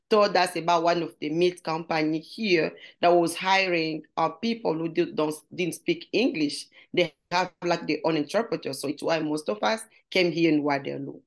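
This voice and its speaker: Congolese-accented woman, earnest and searching. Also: lowish voice. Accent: Congolese French. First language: French. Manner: earnest, searching for words in English. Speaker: guest. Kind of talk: interview conversation.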